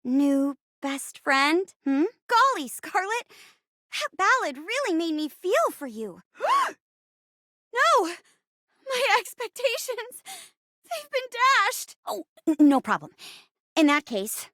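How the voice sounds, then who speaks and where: A very high, bright and animated girlish voice for a musical-loving living chair in a video game. She is peppy and theatrical, full of exclamations and giggles. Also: slow, no room sound, studio quality, heavily acted. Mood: bubbly, dramatic.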